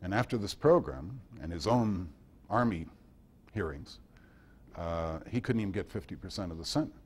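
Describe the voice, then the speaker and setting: An American man giving an informal talk and answering questions, hesitant and thoughtful, often pausing as he tells his anecdotes. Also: sits low, animated, breathy edge. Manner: hesitant, thoughtful, anecdotal delivery with long pauses. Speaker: guest speaker and author at a club meeting. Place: indoor club meeting.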